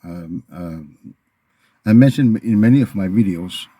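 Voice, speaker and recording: Man, Tongan accent, lowish pitch, soft-edged mellow timbre, earnest reflective monologue, halting phrasing, repeated words. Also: husky, no echo. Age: middle-aged. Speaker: video channel host. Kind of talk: reflective unscripted monologue to camera.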